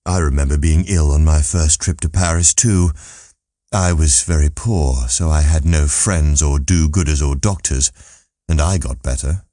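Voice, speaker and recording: A man playing a world-weary, cynical philosopher in an audiobook, blunt and deadpan. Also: extremely low pitch, normal speed, no echo, clean studio sound, pitched below the performer's own voice. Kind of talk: audiobook character voice.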